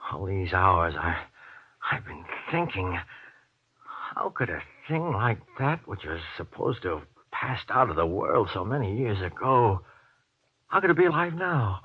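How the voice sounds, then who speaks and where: A low, husky frightened man in an old radio horror play. He stammers and presses his questions insistently, his pitch swinging widely. The recording is dull and muffled. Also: big pitch swings, unhurried, exaggerated voice. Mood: hushed, uneasy. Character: frightened, agitated man trapped underground by a monster.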